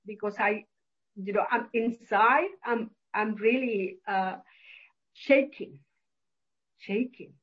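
A woman's voice: mid-range voice, slow, long pauses, husky, highs cut off. A woman from Mexico with a Mexican Spanish accent, a professor and author answering interview questions hesitantly, with many fillers and restarts.

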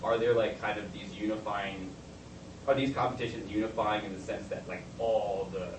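Halting male interviewer, far off. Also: on the low side, fairly even intonation. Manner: hesitant, halting, with restarts and filler words. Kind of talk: interview questions. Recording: distant, echoey room with noise.

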